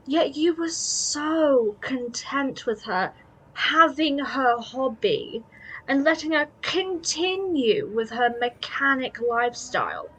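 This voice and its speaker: Casting: actress as indignant high-society snob for audio drama, high swooping voice with a husky edge. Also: female voice, fairly bright tone, full character voice, rough recording. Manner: indignant, snobbish. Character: haughty, disapproving society woman scolding a biker girl.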